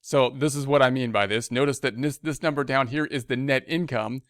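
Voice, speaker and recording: A man's voice: medium pitch, brisk, fairly bright tone, no room sound, clean studio sound. A man teaching as an instructor in a screencast tutorial, talking conversationally and thinking aloud, often repeating and rephrasing as he explains. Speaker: accounting instructor.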